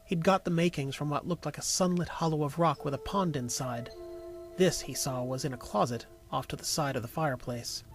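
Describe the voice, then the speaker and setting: A man narrating a scripted story in an expressive way, with dry, wry asides. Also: on the high side, a little fast. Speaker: audiobook narrator and voice actor. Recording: music behind the voice. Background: music.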